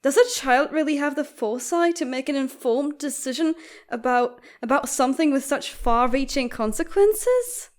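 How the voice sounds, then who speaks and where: A woman acts out a female bird doctor with a somewhat high voice. She is outspoken and protective, arguing insistently in articulate, reproachful phrases. The delivery is dramatic comic fandub acting. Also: expressive, normal speed. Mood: indignant.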